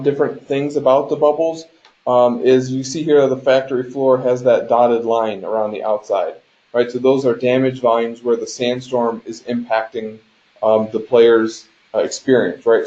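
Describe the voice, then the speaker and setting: Male instructor, mid-pitched and mellow, explanatory delivery with frequent check-ins, muffled conference-call sound. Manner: explanatory, often checks in with "right?". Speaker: game design instructor. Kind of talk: instructional lecture over a shared screen. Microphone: conference call microphone. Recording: dull, lo-fi conference call audio.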